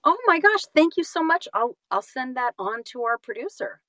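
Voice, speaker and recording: A woman's voice: high voice, very animated, pushed and bright, dry recording. A woman chatting casually as an interview guest, effusive and often stopping to correct herself mid-sentence. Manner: effusive, halting and self-correcting. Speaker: interview guest, singer and audiobook narrator.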